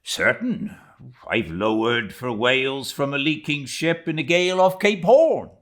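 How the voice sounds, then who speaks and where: A man voicing a brash, gruff, jocular whaling mate in an audiobook, talking bluntly and boisterously. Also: mid-range voice, animated, unhurried, fairly bright tone, pitched above the performer's own voice.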